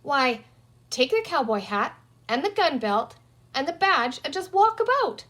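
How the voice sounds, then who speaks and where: A woman's voice: high voice, big pitch swings, measured pace, a bit bright, voice pushed up, heavily acted. A woman voicing a bossy, resourceful young girl in a children's story, talking emphatically as she reasons aloud. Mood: scheming. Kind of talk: children's storybook character reading.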